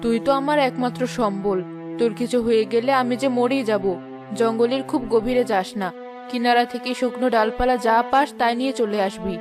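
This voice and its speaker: A woman's voice: on the high side, brisk, lightly acted. A woman playing a worried, pleading village mother. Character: anxious, loving middle-aged village mother. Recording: music behind the voice.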